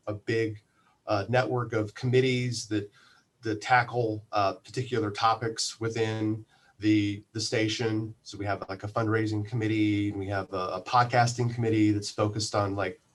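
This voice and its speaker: Male interview guest, lowish voice, halting and conversational, community radio manager type. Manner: halting, thinking aloud. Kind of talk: casual spoken interview.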